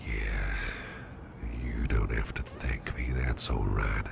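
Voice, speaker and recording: A man playing a caring, gruff businessman boss in a soft, half-whispered, intimate ASMR roleplay. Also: steady tone, exaggerated voice. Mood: tender, reassuring. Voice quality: gravelly, breathy low murmur. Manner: slow, hesitant, intimate, soothing. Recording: clearly audible background noise.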